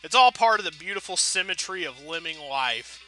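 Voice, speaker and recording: Bright-voiced man rambling over a video game. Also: a little high, expressive, moderate pace. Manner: wordy, wry and self-deprecating. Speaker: let's play host.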